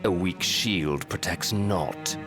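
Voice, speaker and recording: A man's voice: deep voice, slow pace. A man playing a haughty, menacing imperial commander in a video game cutscene, formal and sneering. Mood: scornful. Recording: loud music under the voice.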